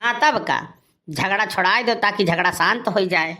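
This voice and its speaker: A man's voice: sits high, moderate pace, bright, forceful tone. A man playing an aggrieved, boastful village husband in a cartoon comedy, grumbling and scolding with a rustic eastern Uttar Pradesh accent. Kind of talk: Awadhi cartoon comedy dialogue.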